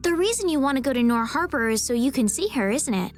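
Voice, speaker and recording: Naive polite noble girl in a game, high n lively, sincere, eager. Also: fast talker, music in the background. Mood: eagerly curious. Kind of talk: English video game dub acting.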